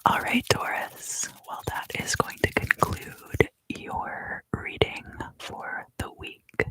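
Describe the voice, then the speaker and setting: A woman giving an ASMR tarot reading in a hushed, soothing, husky voice that often slips into a whisper, close to the microphone. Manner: slow, soothing, hushed guided delivery. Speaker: tarot card reader and host.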